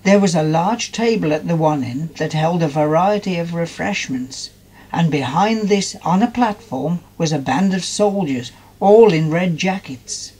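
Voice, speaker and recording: A man narrating a children's fairytale in an expressive storytelling manner. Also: fairly high pitch, measured pace, husky, low-quality recording.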